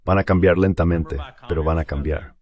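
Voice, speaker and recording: A man's voice: low-pitched, fairly even intonation, normal speed, darkish tone. A man giving blunt political commentary as an interview guest, leaning on emphatic repetition.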